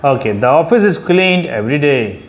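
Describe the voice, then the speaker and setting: A woman teaching English grammar, reading sentences slowly and clearly for a student to repeat. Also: low-pitched, animated, a little hiss or hum. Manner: slow, clear dictation of sentences. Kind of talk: repeat-after-me grammar drill.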